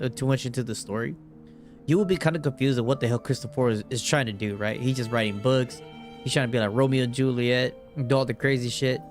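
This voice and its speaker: A man streaming a game, reacting live in a casual, rambling, slangy way. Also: mid-range voice.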